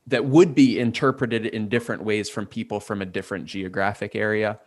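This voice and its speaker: A man's voice: on the low side, steady tone, brisk, dry recording, studio quality. A man, a guest in a casual interview, thinking aloud and weighing ideas from many angles. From Port Chester, New York. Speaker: guest, artist and designer.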